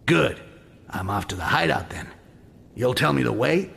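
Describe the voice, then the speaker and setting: Gravelly, sardonic goblin thief. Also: male voice, mid-range voice, animated, normal speed, fairly bright tone, heavily acted. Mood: groggy, irritated. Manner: sardonic, dry. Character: cynical, sardonic male goblin thief and assassin. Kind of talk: video game voice acting.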